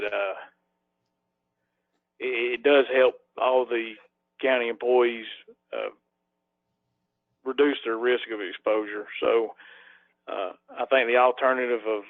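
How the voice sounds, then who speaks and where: A man speaking as a commissioner on a conference call, with halting, tentative, unscripted remarks to a public meeting. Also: mid-range voice, dry recording. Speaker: county commissioner. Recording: tinny, dry phone line.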